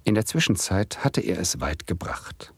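A low-pitched male narrator, a self-justifying student telling his story in a radio play. He speaks in dry, literary sentences with a wry, ironic edge. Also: sing-song, normal speed, slightly rough, dry recording, exaggerated voice. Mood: dry irony.

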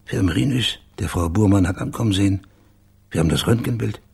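A blunt detective in a radio krimi, rough creaky husky voice, no-nonsense. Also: male voice, fairly low pitch, a little fast, a little mellow, exaggerated voice. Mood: brisk reasoning. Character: dogged, blunt male investigator questioning witnesses and reasoning out a murder. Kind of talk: naturalistic German radio crime drama acting.

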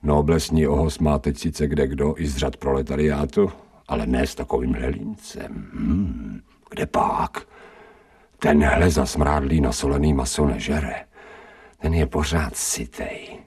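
Very deep, gravelly, bitter stray dog. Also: male voice, a little mellow, recorded from a distance, full character voice. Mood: appraising. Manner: colloquial, sardonic, self-pitying grumbling. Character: bitter, streetwise, scrawny male stray dog. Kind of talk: radio drama monologue. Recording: 1990 radio drama, off-mic.

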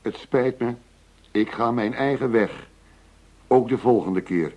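An actor playing a shrewd, commanding undercover operator posing as a criminal dealer in a radio drama, clipped and authoritative. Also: male voice, mid-range voice, measured pace, a little mellow, close to an everyday voice. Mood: dry, defiant. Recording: dull lo-fi radio drama recording.

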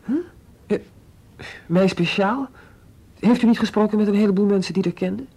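A man's voice: high voice, moderate pace, dark tone, husky. A young man playing a nervous, evasive seventeen-year-old schoolboy in a radio drama, hesitant, stammering and defensive. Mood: alarmed, defensive.

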